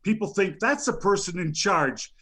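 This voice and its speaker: High-pitched guy ranting all aggrieved, tripping over his words a lot. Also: expressive, normal speed, pushed and bright. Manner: indignant, rambling, stammers with many fillers. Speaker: nominee on a comedy show. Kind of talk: improvised comedy rant.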